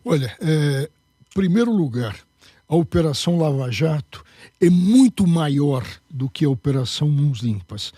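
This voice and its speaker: A man's voice: a little high, dark tone, husky, no room sound, studio quality. A man, a candidate and jurist, speaking emphatically in a political interview. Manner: emphatic, lecturing.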